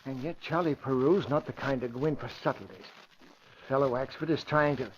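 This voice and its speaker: An actor playing a formal, calculating lawyer deliberating in an old radio crime drama. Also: male voice, medium pitch, brisk, natural voice. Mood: pondering, resolved. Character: upset male lawyer, calculating, thinking aloud. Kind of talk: 1940s radio crime acting. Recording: dull, faintly noisy old radio recording.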